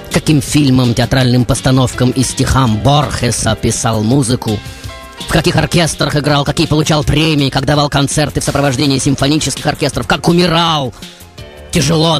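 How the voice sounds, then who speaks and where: Brooding male tango composer, played by a male radio host, poetic and dramatic monologue, mid-pitched, music behind. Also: close to an everyday voice. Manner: dramatic, poetic, long deliberate pauses. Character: passionate, brooding male tango composer telling his own life.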